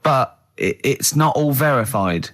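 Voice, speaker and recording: A man hosting a radio show with casual comic banter, animated and quick to act out dialogue. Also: mid-range voice. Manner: animated, breaks into laughter, acts out dialogue. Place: radio studio.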